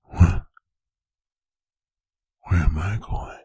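A man playing a stern, angry, domineering boyfriend in a whispered ASMR roleplay, breathy and gravelly, with slow, deliberate, menacing phrasing. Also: dark tone, no room sound, studio quality. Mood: accusing, interrogating. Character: stern, angry, domineering boyfriend scolding his adult partner. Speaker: ASMR voice performer. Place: bedroom, close and dry.

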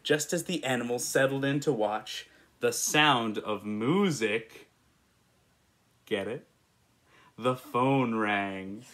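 A man in his twenties with an American accent reads a picture book to young children in a playful, expressive way, asking the listener questions. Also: mid-range voice, slow, pauses often. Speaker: children's story reader. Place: indoors, small room in front of a patterned cloth.

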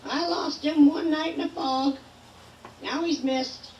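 A woman playing a theatrical witch who tells spooky puns in a drawn-out joke delivery, like a Halloween prop. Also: high-pitched, steady tone, a little mellow, distant mic. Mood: playful. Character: cackling female witch telling spooky puns, slow and theatrical. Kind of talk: Halloween prop comedy recording. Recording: roomy playback from afar, background noise.

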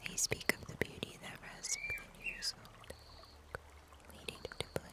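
Softly whispering young woman, ASMR. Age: young adult. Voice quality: soft, breathy whisper. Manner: slow, gentle, soothing. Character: serene, gentle summer angel. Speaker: ASMR performer. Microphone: close ASMR microphone.

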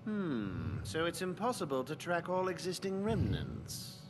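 Courteous scholar in a reverberant hall. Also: male voice, a little high, wildly swooping pitch, measured pace, exaggerated voice. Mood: pondering. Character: courteous, businesslike male academy scholar. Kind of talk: video game cutscene acting. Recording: very reverberant hall, clear background noise.

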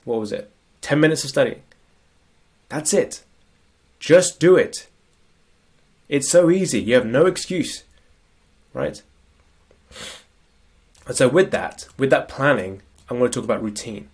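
A man's voice: mid-range voice, expressive, unhurried, pauses often, darkish tone, breathy edge. A man with a cold, giving a casual, conversational productivity lecture while sharing slides, often checking in with "right?". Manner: conversational, frequent tag questions. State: sniffly with a cold. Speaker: productivity coach. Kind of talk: screen-share lecture.

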